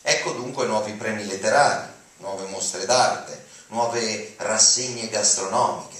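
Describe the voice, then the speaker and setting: Very echoey, lower-voiced man reading aloud. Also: slow pace, a bit bright. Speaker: dramatic reader. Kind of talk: dramatic reading of essays aloud. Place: auditorium at a lectern. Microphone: lectern mic, recorded from audience. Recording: echoey hall from far off, faint noise.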